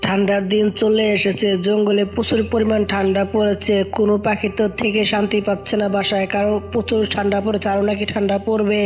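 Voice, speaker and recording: Woman reading cartoon story narration, super flat and mellow, music under it. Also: medium pitch, fast, few pauses.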